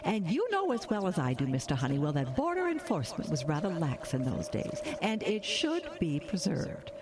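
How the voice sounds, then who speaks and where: Prim, animated historian. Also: female voice, lowish voice, moderate pace, background noise. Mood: indignant. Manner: formal, insistent, pedantic. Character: prim, earnest female historian on a crusade. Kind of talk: radio drama acting. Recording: storm ambience behind.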